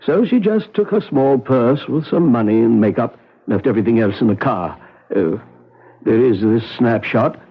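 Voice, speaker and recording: An actor playing a dry, wry doctor in a 1970s radio drama. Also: male voice, medium pitch, lively intonation, normal speed, husky. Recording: tinny old radio broadcast.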